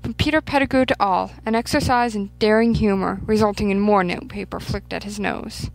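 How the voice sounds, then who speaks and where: A woman's voice: mid-range voice, a little fast, creaky, no room sound, faint music behind, poor audio. A woman reading fiction aloud as scripted narration. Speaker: fan fiction reader.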